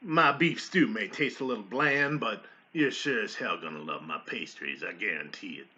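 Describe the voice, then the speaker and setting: A man playing a folksy army staff sergeant and mess cook, telling his story in a theatrical monologue with pauses and emphatic swells. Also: lowish voice, animated, sharp tone. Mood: folksy, nostalgic. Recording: dull, lo-fi sound.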